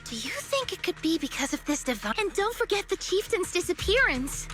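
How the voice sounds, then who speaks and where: A woman voicing a gentle, earnest young female specialist in a video game, explaining things thoughtfully. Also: very high-pitched, big pitch swings, brisk, heavily acted. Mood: explanatory, focused. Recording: music behind the voice. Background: game music.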